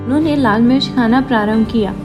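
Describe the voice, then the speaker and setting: High-voiced woman narrating a children's story. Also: fast. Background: background music.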